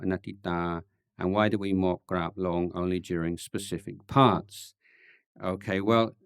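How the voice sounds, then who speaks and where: A man, a Buddhist monk, answering questions at a meditation retreat in a thoughtful, halting way, with dry gentle humor and many pauses and ums. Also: lowish voice, clean tone, no echo. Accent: English. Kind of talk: relaxed Dhamma Q&A talk.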